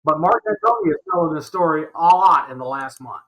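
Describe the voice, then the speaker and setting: High, bright-voiced older American man, emphatic. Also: huge pitch swings, brisk, poor audio. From Alabama, United States. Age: older, about 70. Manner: emphatic, insistent, repeats points for stress. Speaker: interview guest recounting his experiences. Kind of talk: animated conversational argument.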